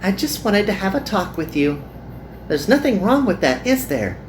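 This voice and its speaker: A woman voicing a kind, earnest young magical girl in a visual novel, with gentle and formal phrasing. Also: mid-range voice, very animated, normal speed, slight background noise, close to an everyday voice, rough recording. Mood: friendly.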